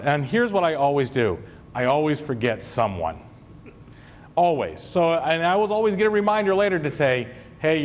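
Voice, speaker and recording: Chatty male superintendent addressing staff. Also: on the high side. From Maine. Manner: chatty, self-deprecating, joking with the audience. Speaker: school superintendent. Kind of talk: convocation speech to school staff. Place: large hall with a live audience.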